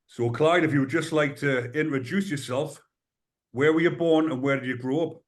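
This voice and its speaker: A man's voice: on the high side, normal speed. A man hosting a podcast, asking loosely phrased, chatty questions. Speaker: podcast host. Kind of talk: interview questions and introductions.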